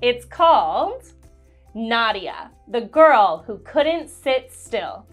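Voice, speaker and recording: A woman reads a picture book to young listeners, drawing out each phrase at an unhurried pace. Her pitch runs a little high and swings widely as she tells the story. Soft music plays faintly behind her. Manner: animated, slow and clear storytelling. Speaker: children's book reader. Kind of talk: expressive read-aloud for children.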